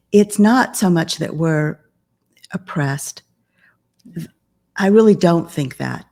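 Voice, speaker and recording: A woman in her fifties, a business coach and author, speaking calmly and in a measured way as a podcast guest. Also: lowish voice. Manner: calm, measured. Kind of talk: podcast interview conversation.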